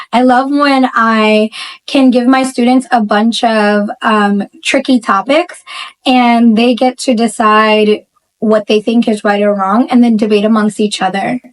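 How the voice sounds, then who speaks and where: A woman's voice: a little high, fairly even intonation, normal speed, poor audio. A woman, born in Bangladesh and raised in the Bronx, chatting about her work as a history teacher in a casual school interview, upbeat and full of ums.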